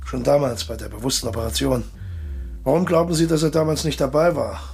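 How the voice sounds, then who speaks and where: An actor in a radio drama playing a suave, cold-blooded traitor colonel, courteous and condescending, with a husky, gravelly voice. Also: male voice, mid-range voice, steady tone, a little fast, a little mellow, slight background noise, natural voice. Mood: smug, explaining.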